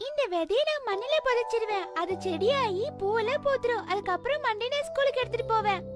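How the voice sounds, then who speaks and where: A woman voicing an eager, impatient little schoolgirl in a children's cartoon, with an excitable, pleading manner. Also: extremely high pitch, fairly quick, loud music behind the voice. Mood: eager, confident. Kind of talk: Tamil-dubbed children's cartoon acting.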